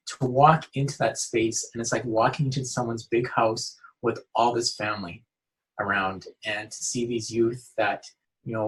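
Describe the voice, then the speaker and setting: A man's voice: mid-range voice, fairly bright tone, rough recording. A man speaking earnestly in a casual interview as a youth outreach worker, often restarting his sentences. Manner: earnest, full of restarts and "you know".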